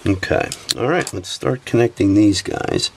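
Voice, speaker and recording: A man's voice: lowish voice, a little slow, gravelly, slight background noise. A sniffly man talking through a camera repair step by step as he works at a workbench. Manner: relaxed, talks while working with hands. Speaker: repair technician. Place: workbench, indoors.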